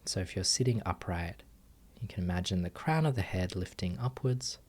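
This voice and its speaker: A man guiding a meditation as a teacher, giving gentle instructions with long pauses. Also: sits low. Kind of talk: guided meditation.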